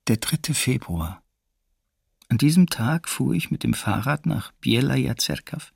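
An actor playing a sober wartime witness who recounts his arrest in measured first-person testimony, in a documentary radio play. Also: mid-range voice, very animated, gravelly, a little mellow, breathy edge, dry recording, studio quality, heavily acted. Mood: sober, tense.

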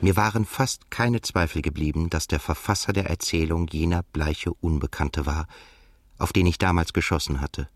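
Low-voiced brooding narrator. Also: male voice. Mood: brooding, reflective. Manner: halting, self-correcting while translating aloud from English. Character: brooding Russian émigré man, narrator haunted by a past shooting. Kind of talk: literary radio drama narration and dialogue.

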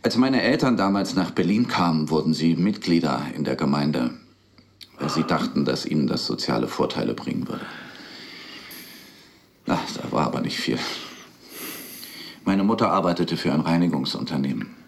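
A man's voice: a bass voice, expressive, normal speed, creaky, long pauses, heavily acted. An actor playing a weary, melancholic middle-aged dentist in a radio play, confiding hesitantly and with resignation in his rabbi. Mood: bitter, reflective. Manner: hesitant, brooding, resigned, with sighs. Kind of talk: naturalistic radio play dialogue.

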